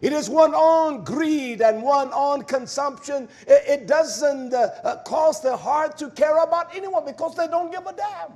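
A man's voice: very high-pitched, a little slow, hardly any pauses, a little mellow. A man delivering a fiery verse-by-verse sermon as a preacher, stern and combative, repeating key words for emphasis. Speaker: preacher and Torah teacher.

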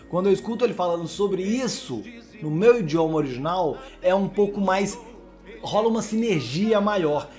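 Young man, slightly high voice, animated, faint music behind. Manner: animated, gesturing hands, enthusiastic. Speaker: YouTube gaming channel host. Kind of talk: casual react commentary. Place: small home gaming room with LED lights.

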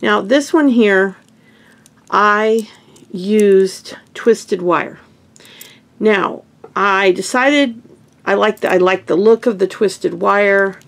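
Woman, sniffly and gravelly, casual home craft host, chatty and self-correcting. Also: mid-range voice, extremely slow. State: sniffly, stuffy nose. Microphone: camera over hands on table.

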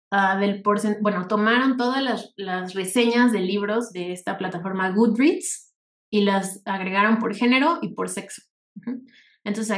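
A woman with a Mexican Spanish accent giving an informal, explanatory lecture over slides, conversational and often checking in with rhetorical questions. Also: mid-range voice. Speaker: workshop instructor. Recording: echoey room sound.

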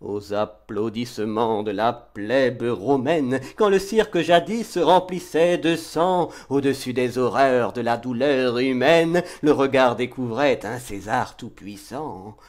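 Male poetry reader, mid-range pitch, very measured pace, dramatic and impassioned declamation. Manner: declamatory, impassioned, swelling from hushed to forceful. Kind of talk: dramatic French poetry recitation.

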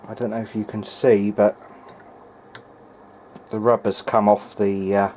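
A man's voice: on the low side, level tone, a little slow, dark tone, a little hiss or hum, poor audio. A man thinking aloud in a hesitant way as he gives casual hands-on repair commentary at an indoor workbench. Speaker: hobbyist repairer presenting a video diary.

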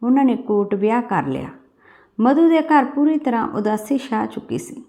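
A woman's voice: fairly high pitch, expressive, moderate pace, mellow. A woman reading a scripted story as an audiobook-style narrator.

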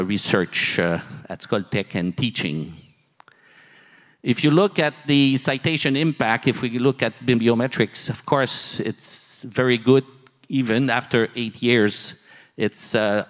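A man in his sixties giving a keynote as a university dean, halting and pausing often mid-sentence, on a handheld microphone in an auditorium. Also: on the low side. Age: older, 60s. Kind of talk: conference presentation, speaking from slides. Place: large auditorium stage. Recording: long hall reverb from PA.

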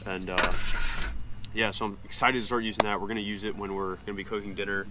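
A man's voice: on the low side, flat delivery, fast talker. A man in his twenties chatting casually as a vlog host, filming himself outdoors on a camera held nearby. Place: outdoors in an open grassy campground. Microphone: action camera at arm's length or nearby. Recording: clear outdoor ambient noise.

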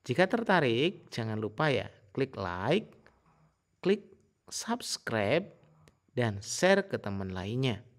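A man giving a casual, informal software tutorial in an explanatory way. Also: mid-range voice, big pitch swings, slow, long pauses. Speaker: tutorial host.

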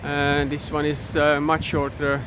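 A man in his thirties, not a native English speaker, hosting a casual walking vlog outdoors and talking into a phone held at arm's length, with many fillers and halting restarts. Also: on the high side, monotone. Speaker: vlogger. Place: outdoors in countryside by a river. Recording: outdoor wind and stream noise.

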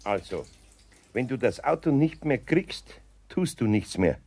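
An actor playing a patient older master carpenter in a children's radio play, calm, firm and dryly teasing. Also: male voice, medium pitch, a little slow, heavy background noise.

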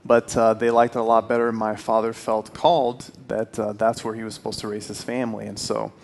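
A man's voice: mid-range voice, a little hiss or hum. Earnest young male church speaker. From Puerto Rico. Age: 20s. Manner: friendly, earnest, some restarts. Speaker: guest speaker. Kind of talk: church talk. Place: church hall with stone fireplace. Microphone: handheld mic, then headset.

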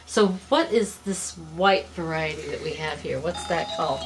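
A woman's voice: low voice, normal speed, soft background music, rough recording. A middle-aged woman interviewing a guest in a small cluttered office, effusive and enthusiastic. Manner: effusive, enthusiastic, laughs often. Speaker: interviewer, extension director. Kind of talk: casual interview.

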